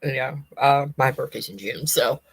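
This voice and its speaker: A woman's voice: very deep voice, measured pace, vocal fry, slightly rough, no echo. A woman in her fifties chatting casually to viewers with a life update, rambling in folksy wording and often repeating or correcting herself. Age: 50s. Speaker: personal vlogger giving a life update.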